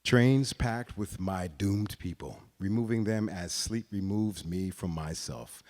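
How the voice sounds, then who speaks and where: A man with a fairly low voice performs a dramatic reading of literary prose. He is deliberate and expressive, letting pauses fall between phrases. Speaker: reader of a literary story.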